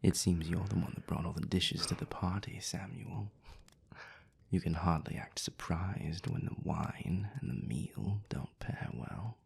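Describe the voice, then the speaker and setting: A man voicing a haughty, sardonic male vampire in an audio roleplay, speaking with dry, mocking politeness in a gravelly, breathy voice. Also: low voice, measured pace, a little mellow, whispered, heavily acted.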